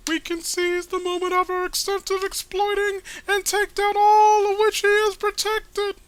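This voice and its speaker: Shrill, pompous villain leader. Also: male voice, monotone, fairly bright tone, no room sound, poor audio. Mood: grandiose. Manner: pompous, drawn-out oratory. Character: villain leader rallying his brethren, grandiose, high wailing voice. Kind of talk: comic read-aloud of a webcomic.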